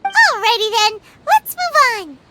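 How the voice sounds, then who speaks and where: A woman voicing a tiny childlike fairy companion in a video game, chirpy and candid, with a squeaky voice. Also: sing-song, unhurried, bright, forceful tone, full character voice. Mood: cheerful, encouraging. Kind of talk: scripted video game voice acting.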